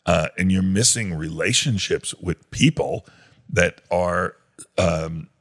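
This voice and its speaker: A pastor talking through a topic in a casual podcast discussion, rambling a little with fillers and restarts. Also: male voice, sits low, slow, slightly rough. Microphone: podcast microphone.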